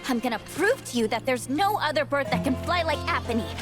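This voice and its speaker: A woman playing a brash, excitable, boastful teenage girl in a video game, with a sassy delivery. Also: high-pitched, fast. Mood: determined, boastful. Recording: loud music behind the voice. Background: loud game music.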